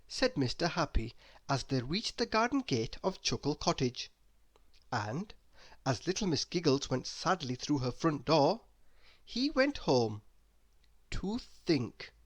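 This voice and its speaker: A woman reading a children's story aloud in an expressive, deliberate narrating style. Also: low voice. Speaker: storybook reader.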